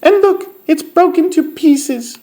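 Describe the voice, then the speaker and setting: A storyteller voicing a tiny, excitable little baby bear with a squeaky voice in a children's storybook. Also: takes it slowly. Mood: upset, squealing.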